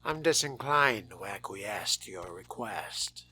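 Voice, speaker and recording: A man's voice: fairly low pitch, lively intonation, takes it slowly, pushed and bright, slight background noise, heavily acted. A man playing a refined, sardonic vampire in a video game roleplay, speaking formally and theatrically in dry, often murmured asides. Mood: dry, courtly. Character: refined, sardonic male fledgling vampire exploring a haunted hotel.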